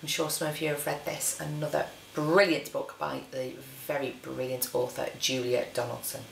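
A woman, a teacher reading a children's story aloud with dramatic, deliberate phrasing. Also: low-pitched, level tone, creaky, distant mic, slight background noise. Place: living room, indoors. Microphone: camera a short distance away. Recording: roomy living-room echo.

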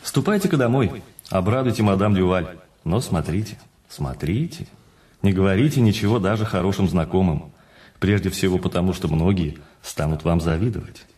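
A man acting in a radio drama as an urbane, patient notary who speaks in a measured, courteous way with teasing emphasis. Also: deep voice, lively intonation, a little mellow, slightly rough. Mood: advising, cautionary. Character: urbane, patient male notary breaking news of a fortune. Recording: studio radio play with faint music.

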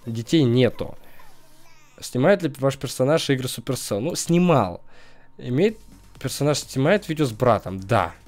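A man's voice: mid-range voice, expressive, moderate pace, soft background music. A young man, a gaming YouTuber, chatting casually over a screen recording, joking and laughing often. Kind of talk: casual let's-play commentary.

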